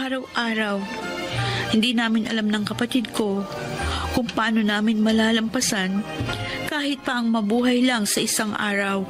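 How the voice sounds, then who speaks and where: A woman's voice: on the high side, fairly even intonation, moderate pace, pauses often, close to an everyday voice. Earnest orphaned older sister over music, acted by a woman. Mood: somber, weary. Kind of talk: Filipino radio-style drama acting with first-person narration.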